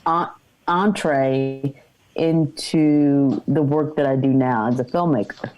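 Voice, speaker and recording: A woman filmmaker who speaks as a panel guest in a measured, reflective way during a candid conversation. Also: very deep voice, steady tone, lo-fi sound.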